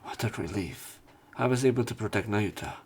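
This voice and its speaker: A man narrating a visual novel playthrough, reading story text and dialogue aloud with some halting pauses. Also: on the low side, darkish tone, slight background noise. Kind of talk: scripted narration and character line reading. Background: game music, keyboard typing.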